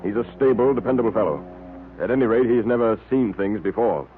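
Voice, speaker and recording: An actor playing a worried, courteous ship's captain in a radio drama, formal and grumbling. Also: male voice, lowish voice, animated, brisk, narrow, tinny audio, lightly acted. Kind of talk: 1930s-40s radio drama acting. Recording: thin, noisy vintage radio recording.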